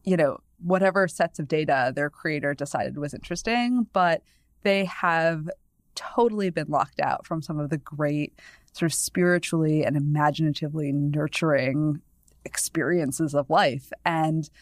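A woman's voice: low-pitched, normal speed, dry recording, clean studio sound. A woman in her thirties from New England, a guest on a podcast, talking in a chatty, discursive way full of "you know" asides and easy laughs. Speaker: guest, newspaper columnist. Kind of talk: casual podcast conversation.

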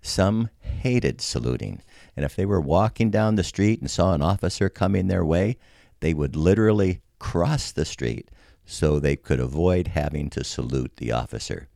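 An older American man telling a personal story in a scripted, wry, folksy and measured way. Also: deep voice, animated, vocal fry, dark tone, dry recording, studio quality. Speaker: podcast storyteller.

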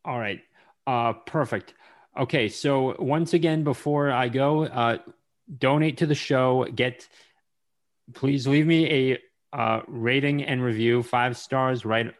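A man hosting a podcast interview, with a mid-range voice. He stops and starts, filling the gaps with ums and uhs. His manner is loose and casual. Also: medium pitch. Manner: halting, with many ums and uhs. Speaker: podcast host.

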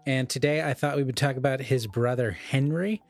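A man with a mid-pitched voice co-hosts a podcast in a very dry, close-sounding recording. He talks casually but like a scholar, unspooling ideas at length and revising his phrasing along the way. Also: moderate pace, dry recording, faint music behind. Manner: discursive, scholarly, self-correcting. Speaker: podcast co-host. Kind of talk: casual literary discussion.

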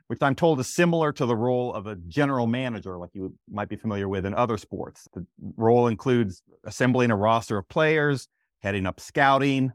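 A man's voice: mid-range voice, no echo. Male podcast host, dry close sound. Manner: scripted, smooth intro delivery. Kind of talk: podcast hosting and interviewing.

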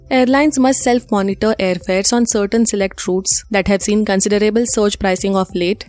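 A woman's voice: medium pitch, darkish tone. A woman with an Indian accent presents scripted news updates as a newsreader.

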